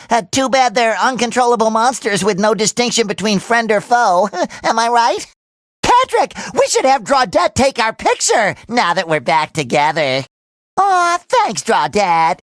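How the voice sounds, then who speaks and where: A man voicing a cheerful, naive, excitable young male cartoon sea sponge in a video game, with a nasal, squeaky, childlike and eager delivery. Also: a little slow, dry recording, studio quality, full character voice. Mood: cheery.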